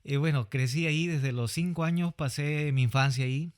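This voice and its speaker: A man's voice: on the high side, normal speed, clean tone. A young man from Peru with a Peruvian Spanish accent, a missionary guest answering interview questions politely and earnestly, hesitating with fillers. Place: studio.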